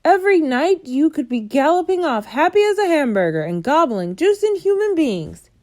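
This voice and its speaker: A brutish man-eating giant , put on by a woman reading aloud, roars and bellows in mangled grammar, his voice swinging wildly in pitch. The tone stays dark and mellow under the shouting, a storybook bully through and through. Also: very animated, measured pace. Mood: roaring, raging. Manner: bellowing, coarse, broken grammar. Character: greedy brutish male giant, bullying and loud.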